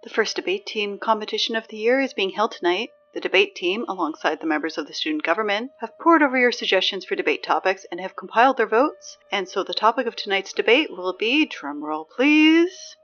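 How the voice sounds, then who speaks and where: A woman playing a chatty, exasperated high school morning announcer in a scripted audio drama, conversational and wry with hesitant asides. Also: expressive, a little fast, few pauses, fairly bright tone, dull audio, soft background music. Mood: brisk announcing.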